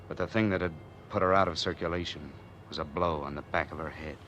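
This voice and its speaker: A man acting a wary, hardboiled man on the run in an old radio noir drama, narrating and talking in terse, deadpan slang. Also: low voice, fast talker, highs cut off, a little hiss or hum. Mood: grim, tense. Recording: old time radio recording with music behind.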